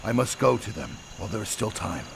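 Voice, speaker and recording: A man voicing a stern, exacting old martial arts master in an animated game, with clipped, dry, commanding delivery. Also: fairly low pitch, quick pace, creaky, slight background noise, heavily acted. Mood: grave.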